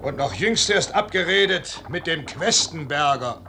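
An actor playing a tense, blunt officer reporting bad news, clipped and emphatic, in a 1950s German radio play. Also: male voice, a little high, animated, a little slow, vocal fry, sharp tone, a little hiss or hum, heavily acted. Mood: urgent, indignant. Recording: 1950s radio drama recording.

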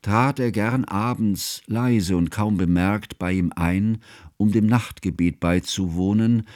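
Actor as earnest scholarly narrator guy in a radio drama, lowish, very formal and careful, no room sound. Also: a little mellow, dry recording, clean studio sound, natural voice. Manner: measured, formal, ornate phrasing. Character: devoted, learned male friend recalling events, formal and tender. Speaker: first-person narrator. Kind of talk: literary narration in a German radio play.

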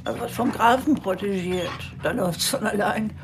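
A woman's voice: mid-range voice, moderate pace, noisy background, lightly acted. An actress playing an older, blunt and folksy village woman, self-assured and chatty, in a radio drama. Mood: blunt, feisty. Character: plucky old village woman, former guesthouse keeper, blunt and chatty.

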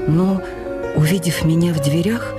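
An actress playing a woman writer recalling her youth in an expressive, literary radio memoir reading. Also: fairly low pitch, moderate pace, over loud music, lightly acted. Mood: wistful recollection.

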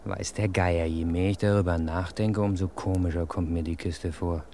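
A man's voice: low voice, fairly even intonation, moderate pace, warm tone, slight background noise. A man playing an eager, talkative young police assistant in a 1950s radio play.

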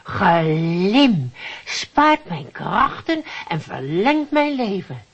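An actress in a radio play, playing a naive, hopeful, starry-eyed woman with a husky voice and a hesitant, earnest manner. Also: medium pitch, very animated, extremely slow, exaggerated voice. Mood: eager, unsure. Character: naive, hopeful loyal female customer, nervous and starry-eyed. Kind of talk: naturalistic radio drama acting. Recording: slightly dull radio drama recording.

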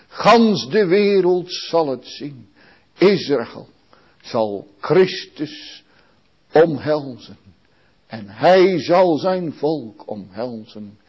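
A man leading a long public prayer in a slow, solemn and pleading way. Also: on the high side, breathy edge, lo-fi sound. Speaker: praying minister.

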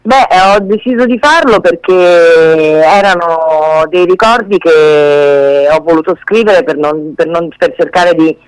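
A woman's voice: fairly low pitch, measured pace, hardly any pauses, clear, resonant tone, no room sound, low-quality recording. A woman speaking candidly and conversationally as an author being interviewed on the radio. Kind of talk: informal spoken radio interview.

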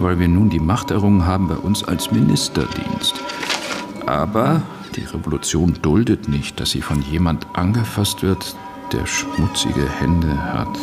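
An actor playing a cold, curt revolutionary leader delivering a formal judgement in a radio drama. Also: male voice, low-pitched, gravelly, exaggerated voice. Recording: music behind.

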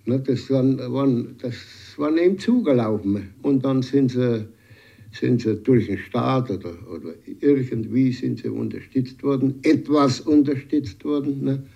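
A man speaks hesitantly in an interview. His voice is very dark and creaky, on a dull, muffled tape. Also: medium pitch, animated. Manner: hesitant, halting, dialect-tinged. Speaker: villager being interviewed. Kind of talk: spontaneous interview recollection.

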